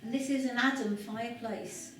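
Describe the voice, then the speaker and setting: A woman's voice: on the high side, normal speed, over music. Female tour guide, hesitant explanatory talk, very echoey large room, far from the mic. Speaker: historic house tour guide. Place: large room inside an old house. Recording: echoey room, distant mic, background noise.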